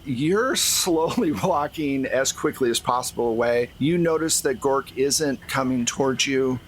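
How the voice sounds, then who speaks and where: A man's voice: mid-range voice, fairly bright tone, a little hiss or hum, rough recording. A man speaking as game master, narrating a tabletop game in a casual way.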